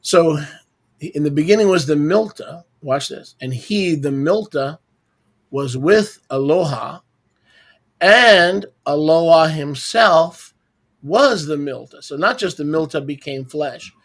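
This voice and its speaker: A man's voice: fairly high pitch, lively intonation, slow. A man hosting an informal religious teaching over an online video call, explaining didactically and repeating key words for emphasis.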